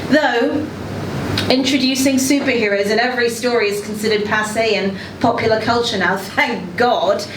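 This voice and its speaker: A woman reading as a condescending, blunt book editor in a satirical letter, with an arch, deliberate delivery and pointed pauses. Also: a little high, fairly bright tone, close to an everyday voice. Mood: patronizing, wry. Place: indoor social venue at live reading event. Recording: roomy, noisy live venue, distant mic.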